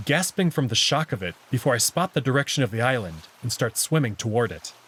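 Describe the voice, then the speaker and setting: A man with a husky voice narrating a first-person visual novel story expressively. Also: mid-range voice.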